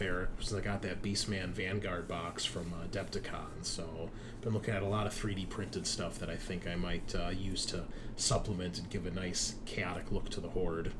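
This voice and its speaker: A man's voice: low voice, steady tone, normal speed, background noise. A man hosting a game stream in casual, informal chat, swearing freely. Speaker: host and game master.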